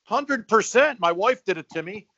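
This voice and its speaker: A middle-aged man co-hosting a podcast, telling stories in a lively, joking, casual way. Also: high voice, normal speed, vocal fry, no room sound. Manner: animated storyteller, joking. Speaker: podcast co-host. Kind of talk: casual chat. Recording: dry, slightly dull sound.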